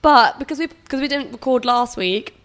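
A woman's voice: a little high, lively intonation, normal speed, a bit bright. A young woman co-hosting a casual podcast, congested with a cold, chatty and teasing, a personal trainer. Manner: chatty, teasing, laughs often. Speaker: podcast co-host. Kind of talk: casual chat.

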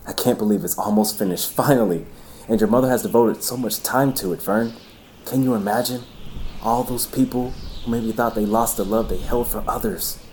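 A man's voice: fairly low pitch, moderate pace, a little mellow, a little hiss or hum, close to an everyday voice, low-quality recording. A man acting in an audio drama as a worried, earnest young boyfriend who pleads and lectures. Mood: hopeful, earnest.

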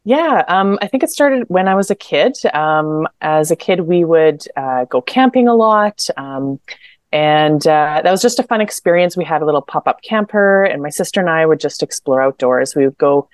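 A woman's voice: low voice, animated, moderate pace, no echo, clean studio sound. A young adult woman from Manitoba, Canada, chatting as a podcast guest, with lots of fillers and restarts. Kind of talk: casual interview chat.

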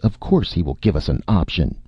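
A man's voice: deep voice, lively intonation, normal speed, slightly rough, no room sound, muffled recording. A man voicing a bullying, heavy-set male film director in a story, with stilted phrasing and a threatening, domineering manner.

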